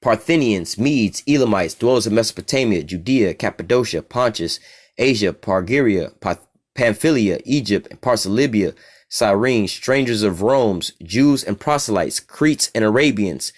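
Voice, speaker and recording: A man's voice: lowish voice, animated, moderate pace. A man from the United States with an American accent, giving an informal, profane bible teaching and keeps asking "all right?".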